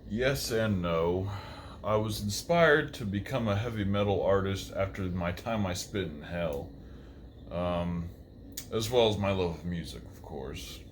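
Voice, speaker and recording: An undead reaper and metal musician, played by a man, speaks in a low voice. He is brooding yet polite, answering as a talk show guest in an earnest, measured way. A light ambient noise sits underneath. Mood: candid, brooding. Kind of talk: interview answers.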